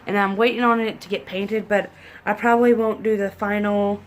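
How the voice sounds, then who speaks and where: A woman's voice: mid-range voice, flat delivery, normal speed. Young congested woman, rambling and self-deprecating, talking to a home camera over faint background hiss. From southern Indiana, US. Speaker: pregnancy vlogger. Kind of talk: casual vlog chat. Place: living room at home, indoors. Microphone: camera in front at desk distance.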